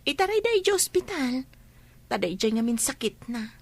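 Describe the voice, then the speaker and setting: High-pitched, chatty young student played by a woman. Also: big pitch swings, normal speed, creaky, a little mellow, dry recording, exaggerated voice. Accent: Ilocano. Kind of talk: Ilocano radio drama acting.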